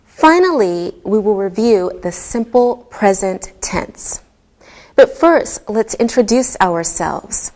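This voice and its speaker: Slow, carefully spoken woman teacher. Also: mid-range voice, lively intonation, darkish tone. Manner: deliberate, clearly enunciated, patient. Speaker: English teacher. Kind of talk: slow, scripted ESL lesson delivery.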